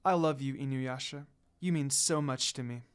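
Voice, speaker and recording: A reader voicing a calm, softly affectionate young woman from a fan story. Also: mid-range voice, normal speed, clean tone, pitched below the performer's own voice, natural voice.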